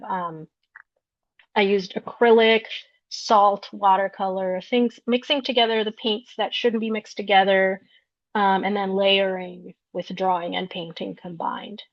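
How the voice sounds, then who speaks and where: Woman artist from Peru presenting her work, gravelly fry, muffled dry lo-fi sound. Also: mid-range voice, no room sound. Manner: explanatory, unhurried, searching for words. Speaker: visual artist. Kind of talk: artist talk walking through slides of her work. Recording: dull lo-fi recording, dry.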